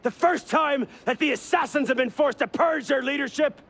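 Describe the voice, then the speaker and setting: An actor playing a bitter, fanatical older assassin in a video game, speaking in a gravelly, husky voice with grand, impassioned intensity. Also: male voice, on the high side, very animated, takes it slowly, exaggerated voice. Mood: impassioned, furious. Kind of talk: video game dramatic acting.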